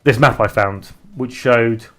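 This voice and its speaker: Young British male streamer, mid-pitched, loose rambling chat with frequent restarts. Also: a bit bright, faint music behind. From Britain. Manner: rambling, halting, restarts often while reading viewer chat aloud. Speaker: live streamer and game developer. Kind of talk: casual live stream chat.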